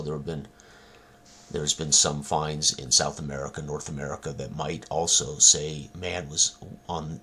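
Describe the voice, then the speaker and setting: A man with an extremely low, husky voice talks informally as a game designer presenting his work. His delivery is halting and rambling, with long hesitations between phrases. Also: bright, forceful tone. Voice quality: husky, very deep. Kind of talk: informal rambling product talk.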